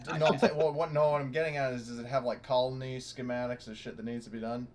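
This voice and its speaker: A man's voice: medium pitch, fairly even intonation, quick pace, a little mellow. A man playing a tabletop game, chatting casually in a hesitant, fumbling and sweary way. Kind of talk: casual chat.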